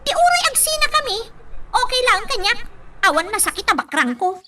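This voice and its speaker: An actress with a Filipino accent playing a spoiled, petulant young daughter in a radio drama. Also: female voice, very high voice, big pitch swings, fairly quick, bright, forceful tone, a little hiss or hum, exaggerated voice. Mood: annoyed.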